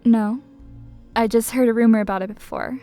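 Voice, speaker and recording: An actress plays a young woman who is a prying, easily exasperated best friend. The voice is clearly high and the delivery pointed and dramatic, in the style of a game roleplay series. Soft music runs underneath. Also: steady tone, normal speed, close to an everyday voice. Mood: concerned. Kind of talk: Minecraft roleplay voice acting.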